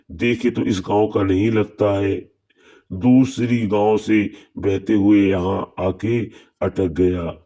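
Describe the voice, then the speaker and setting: Male actor as uneasy, take-charge village fisherman, low voice, measured storybook delivery. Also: warm tone.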